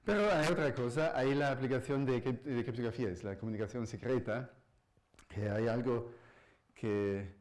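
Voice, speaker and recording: A man, not a native speaker of the language, giving a public physics lecture as a professor, halting and searching for words with frequent restarts. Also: medium pitch, darkish tone. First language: not Spanish. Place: university lecture hall.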